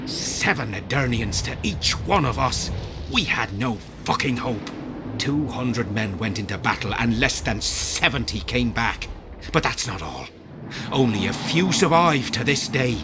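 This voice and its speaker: An actor playing an embittered veteran soldier in a video game, telling of war in a grim, coarse-tongued way. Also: male voice, mid-range voice, expressive, unhurried, noisy background. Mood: bitter. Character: embittered veteran soldier, gruff survivor of a lost regiment. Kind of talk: dramatic video game acting.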